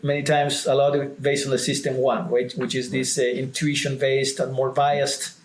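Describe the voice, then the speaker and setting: A man's voice: mid-range voice, flat delivery, normal speed, slight background noise. A man in his forties, a native Spanish speaker with a Spanish accent, speaking as a startup founder on a video call, hesitant with many restarts. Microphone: video call webcam. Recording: echoey room on video call.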